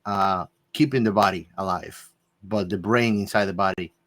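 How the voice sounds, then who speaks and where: A man's voice: low-pitched, normal speed, fairly bright tone. A man speculating in a casual panel discussion, often searching for the right words. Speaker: podcast panelist.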